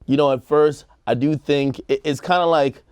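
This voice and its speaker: A man's voice: fairly high pitch, steady tone, normal speed, a little mellow, clear, resonant tone, dry recording. A man with an American accent giving casual opinions as a talk show co-host, slangy and acting out quotes. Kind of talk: casual opinionated panel chat.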